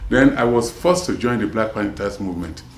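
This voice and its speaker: A man's voice: on the low side, expressive, fairly quick, roomy sound, a little hiss or hum. A man in his seventies from Nigeria, with a mixed Nigerian and American accent, telling his story in a rambling way with many restarts. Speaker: interviewee, former prison officer. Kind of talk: casual street interview.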